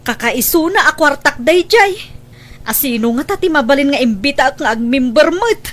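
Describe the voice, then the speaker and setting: A woman's voice: sits high, animated, normal speed, fairly bright tone. An actress playing a pushy, money-anxious village woman in a scripted comedy drama, coaxing and insistent. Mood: worried, pleading.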